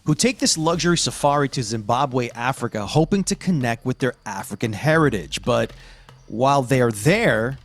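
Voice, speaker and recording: An American man hosting a podcast, presenting emphatically with dramatic pauses on key words. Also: mid-range voice, animated. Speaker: podcast host. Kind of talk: scripted podcast presenting and discussion.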